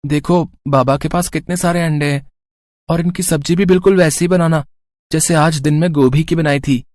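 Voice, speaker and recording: A man's voice: fairly high pitch, fairly even intonation, moderate pace, darkish tone, no room sound, clean studio sound, natural voice. A man voicing an eager, earnest young boy pleading with his parents in a cartoon moral story. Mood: cheerful, pleading.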